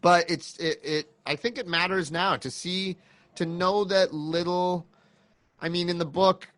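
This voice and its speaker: A man's voice: sits high, steady tone, unhurried, a bit bright, dry recording. A man in his thirties chatting casually as a podcast guest, enthusiastic and reflective. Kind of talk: casual chat.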